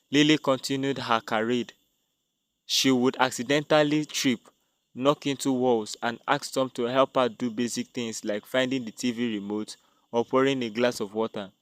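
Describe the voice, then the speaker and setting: A man's voice: medium pitch, clear, resonant tone, dry recording. A man narrating a dramatic scripted tale as a storyteller.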